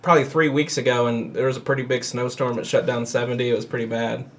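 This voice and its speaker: A male podcast co-host in casual chat, eager and excitable, quick to laugh. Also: medium pitch. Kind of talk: casual chat and phone call.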